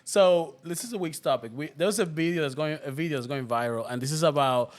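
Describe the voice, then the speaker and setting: A man's voice: fairly high pitch, fast. A man hosting a podcast in casual chat, upbeat as he sets up topics and guests. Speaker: podcast host.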